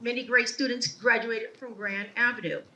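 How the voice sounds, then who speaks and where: A woman with a bright voice that sits a little high speaks at a ceremony, earnest and measured as she tells her family's story. She sounds a little distant from the mic, with faint ambience behind her. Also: fairly even intonation, muffled recording. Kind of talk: prepared speech at a ceremony. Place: outdoor ceremony.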